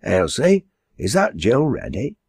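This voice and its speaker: Male reader as hearty quarryman, storybook, Lancashire accent, husky, unhurried, blunt, emphatic. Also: on the low side, wildly swooping pitch, a little mellow, no room sound, studio quality, pitched above the performer's own voice, exaggerated voice. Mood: gruff, doubtful. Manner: emphatic dialect talk.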